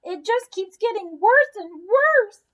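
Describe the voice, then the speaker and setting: A woman's voice: very high-pitched, lively intonation, slow pace, fairly bright tone, muffled recording, pitched above the performer's own voice, heavily acted. A woman voicing a distraught, worried mother in a children's storybook, in a wailing manner. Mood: despairing.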